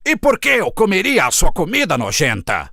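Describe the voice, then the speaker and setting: A man's voice: high-pitched, wildly swooping pitch, normal speed, gravelly, pushed and bright, no echo, studio quality, exaggerated voice. A man voicing a proud, selfish crow in a children's cartoon fable, haughty and dismissive. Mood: scornful. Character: proud, selfish, haughty male crow guarding his cheese.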